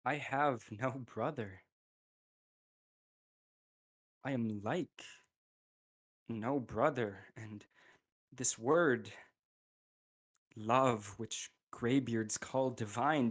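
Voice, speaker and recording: A man's voice: mid-range voice, slow. A young male student actor playing a scheming, bitter royal brother in a Shakespearean radio play. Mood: cold, menacing. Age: young adult.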